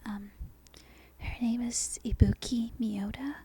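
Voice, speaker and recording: A woman from Australia with a softened Australian accent, whispering an unscripted ASMR ramble in a halting, breathy way, often correcting herself. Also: fairly high pitch, steady tone. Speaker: amateur ASMR video creator. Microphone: non-binaural microphone.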